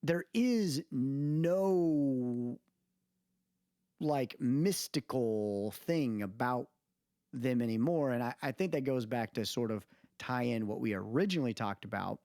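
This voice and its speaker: A man's voice: mid-range voice, expressive, takes it slowly, dark tone, dry recording, studio quality. A man co-hosting a podcast in casual conversation, emphatic and repeating phrases to drive points home. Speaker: podcast co-host. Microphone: close mic on a remote recording call.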